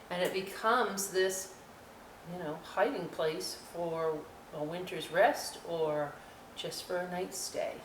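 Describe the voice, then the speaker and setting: A woman hosting a children's storytime, reading aloud and explaining crafts with folksy, chatty, expressive asides to young listeners. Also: fairly low pitch. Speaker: library storytime host. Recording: roomy echo, distant mic, faint noise.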